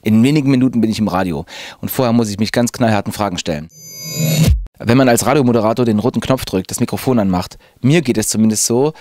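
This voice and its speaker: A man's voice: fairly low pitch, quick pace, no echo, soft background music. A man in his thirties, a radio presenter, talking casually and enthusiastically into a handheld microphone in a radio studio. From Zwickau, Saxony, Germany. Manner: lively, chatty, enthusiastic. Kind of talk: casual personal account to camera. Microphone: handheld reporter mic.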